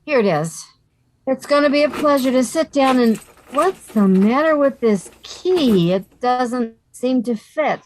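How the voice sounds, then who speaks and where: A woman's voice: sits high, expressive, measured pace, darkish tone, a little hiss or hum, low-quality recording. A woman playing a sensible, wisecracking young woman with a dry, sardonic delivery in a radio comedy.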